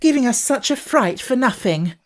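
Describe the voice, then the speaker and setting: A woman's voice: on the high side, expressive, moderate pace, pitched above the performer's own voice, natural voice. A woman voicing an earnest young girl in a children's storybook, speaking with emphatic feeling. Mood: cross.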